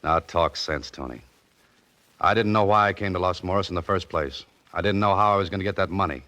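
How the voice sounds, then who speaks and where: Deep-voiced, wry hard-boiled hero. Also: male voice, fairly quick, sharp tone, no echo. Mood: tense, defiant. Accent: American. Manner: dry, wisecracking, clipped tough-guy delivery. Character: wry, hard-boiled adventurer hero narrating his own mystery. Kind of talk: 1940s radio mystery acting and first-person narration. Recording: muffled old radio recording with music.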